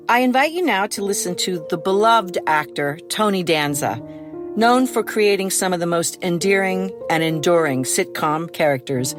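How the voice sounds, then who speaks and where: A woman reading a scripted introduction to an audio drama as its author, in a measured, earnest way. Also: fairly low pitch. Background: instrumental music.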